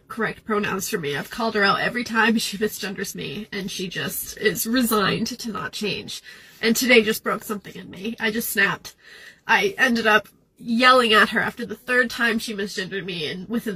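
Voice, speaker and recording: A woman's voice: mid-range voice, sharp tone, slightly rough, rough recording. A young woman venting into a phone camera at the beach, ranting, laughing through tears. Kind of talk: emotional vent video. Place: outdoors at the beach.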